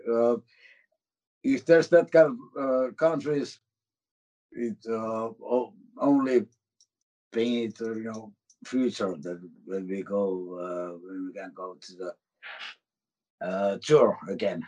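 Slow, halting Finnish-accented man. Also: lowish voice, dark tone, highs cut off. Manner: halting, searches for words. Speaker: band member, guest. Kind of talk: casual interview chat.

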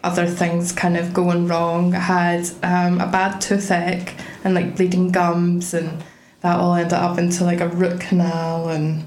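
A woman's voice: on the low side, completely flat delivery, a little slow, darkish tone. A young woman, a podcast guest telling her story in a wry, chatty way. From Scotland. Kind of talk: casual chat. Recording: echoey room with faint background noise.

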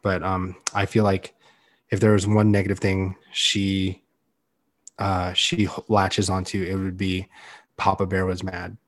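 A young man on a podcast, talking earnestly and loudly in a casual chat, with many "like"s. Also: low-pitched, completely flat delivery, a little slow. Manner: loud, earnest, heavy use of "like". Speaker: podcast co-host.

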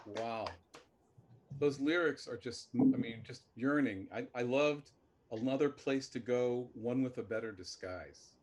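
A man hosting a conversational panel discussion, speaking haltingly as he searches for his words. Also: mid-range voice. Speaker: host.

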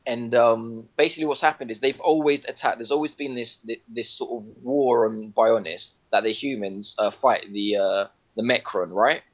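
A man's voice: mid-range voice, normal speed, hardly any pauses. A man chatting casually on a gaming podcast, rambling with lots of restarts and laughing at his own jokes. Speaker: podcast guest reviewing a game. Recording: lo-fi podcast audio.

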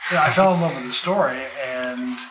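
A man's voice: mid-range voice, a little fast, crowd noise. A man talking casually as a panelist at a live convention panel, in a roomy, noisy space. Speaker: panelist, podcast producer. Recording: echoey room, clear background noise.

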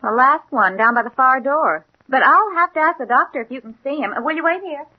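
High-voiced young nurse. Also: female voice, animated, a little fast. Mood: polite. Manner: polite, helpful. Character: young, polite female hospital night nurse. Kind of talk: 1940s radio drama acting. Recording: muffled old radio recording.

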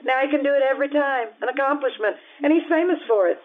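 An actress playing a blunt, warm-hearted Italian innkeeper in an old-time radio drama, earthy and frank in manner. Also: female voice, high-pitched, fast, phone-quality sound, close to an everyday voice. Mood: frank, teasing.

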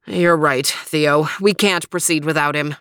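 Woman as a brisk, no-nonsense dog detective. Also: sits low, a bit bright, no room sound, studio quality. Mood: confident, then resigned. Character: gruff, impatient male dog detective, sensible second-in-command. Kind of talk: storybook character reading.

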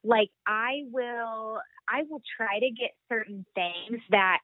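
A woman's voice: a little high, takes it slowly, dry recording. Woman in her twenties, chatty, upbeat, tinny phone line, dry sound. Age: 20s. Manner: chatty, upbeat, lots of fillers. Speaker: guest, boutique founder. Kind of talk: casual phone interview.

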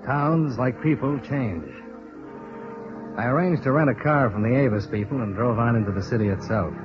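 An actor with an American accent playing a tough, world-weary insurance investigator with a sympathetic side, in clipped 1950s radio detective style. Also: male voice, medium pitch, normal speed, lightly acted. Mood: matter-of-fact, wry. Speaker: lead actor and narrator. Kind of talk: 1950s radio detective drama acting, first-person narration. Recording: dull vintage radio recording with music.

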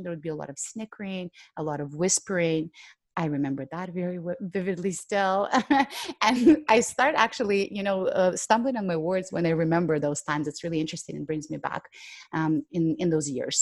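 A woman from Ukraine, a public speaker and podcast guest, talking candidly in a reflective interview, laughing often and filling pauses with "um" and "you know". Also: fairly low pitch, dry recording.

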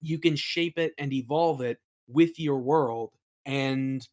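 Casual, mid-pitched podcast guest. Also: takes it slowly, darkish tone. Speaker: interview guest, creator of a fantasy audio play. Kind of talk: casual interview chat about worldbuilding.

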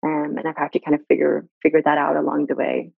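A woman's voice: deep voice, completely flat delivery, fast, gravelly, breathy edge, no room sound, phone-quality sound. A woman whose first language is Farsi, a guest on a podcast, speaking in an earnest, reflective way and often repeating her key points. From Sweden. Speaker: guest, brand director.

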